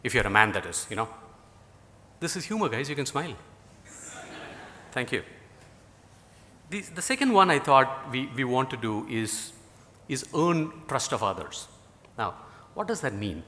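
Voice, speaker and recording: A man's voice: medium pitch, sing-song, measured pace, pauses often, sharp tone, a little hiss or hum. A middle-aged man from India with an Indian English accent, a native Tamil speaker, giving a conference talk in a rambling way with lots of "you know" and dry jokes. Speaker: guest speaker. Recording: hall reverb.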